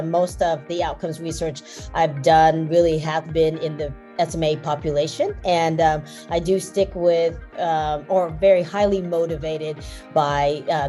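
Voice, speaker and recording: A woman's voice: sits low, mellow. A woman from the United States, a research physical therapist, speaking earnestly with fillers in an interview.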